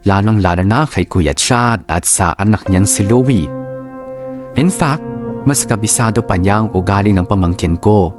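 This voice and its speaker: A man's voice: lowish voice, unhurried. A man reading a scripted first-person story as the narrator of a drama. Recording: music under the voice. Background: background music.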